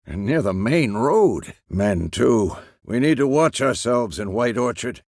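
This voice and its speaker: An aging, seasoned monster hunter for a fantasy video game. His voice is gravelly and mid-pitched, with lively movement in it. He speaks as a gruff, measured teacher, recorded dry and clean. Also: dry recording. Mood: stern, practical. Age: older. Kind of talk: video game character dialogue.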